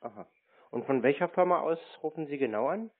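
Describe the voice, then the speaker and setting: Spöttischer Mann am Telefon. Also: male voice, on the high side, expressive, a little fast, no echo. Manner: mocking, insulting, persistent. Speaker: scam-baiting caller. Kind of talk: confrontational phone call. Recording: dry tinny phone line.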